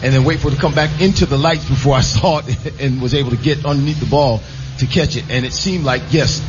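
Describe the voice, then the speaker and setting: Mature man chatting over loud venue noise. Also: medium pitch, fast, dull audio. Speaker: radio co-host, former football receiver. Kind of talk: casual live interview chat. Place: live radio broadcast from a casino.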